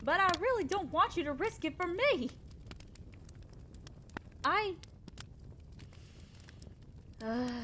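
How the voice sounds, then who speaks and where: A fairly high female voice over some background noise , an actress in role as a kind centaur wanderer. She talks straight to the listener in an audio roleplay. Her delivery is earnest and gentle, with the hesitance of someone selfless who doubts herself. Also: heavily acted. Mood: flustered, reluctant.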